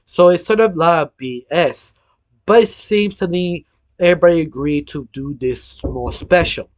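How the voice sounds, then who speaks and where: A man with a hoarse voice from illness, hosting a casual cartoon news roundup, rambling with lots of filler phrases and restarts. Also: on the high side, normal speed, no room sound. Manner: rambling, heavy use of "sort of", frequent restarts. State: hoarse, losing his voice from illness. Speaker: cartoon news channel host.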